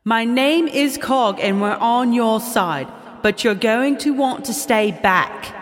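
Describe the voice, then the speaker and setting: A man voicing a stern young female warrior who speaks slowly and in warnings. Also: very high-pitched, animated, a bit bright, in a large hall, voice pushed up, full character voice. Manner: slow, warning tone.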